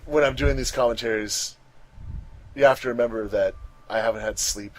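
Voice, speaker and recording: A man's voice: medium pitch, steady tone, normal speed, poor audio. A man talking casually on a fandub crew's commentary track.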